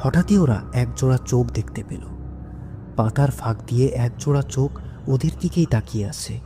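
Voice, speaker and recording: Guy narrating a scary story, scripted, mid pitched voice, music clearly behind him. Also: a little fast.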